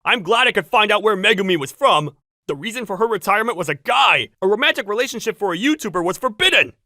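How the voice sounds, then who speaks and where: A woman voicing a scheming, excitable male paparazzo in a manga dub, loud and given to yelping. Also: medium pitch, fast, no echo, clean studio sound. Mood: gloating. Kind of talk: exaggerated manga dub acting.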